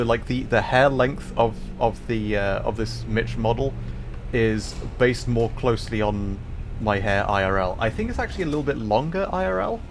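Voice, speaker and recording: A man giving casual, halting live commentary as he streams a video game, musing with playful asides. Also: mid-range voice.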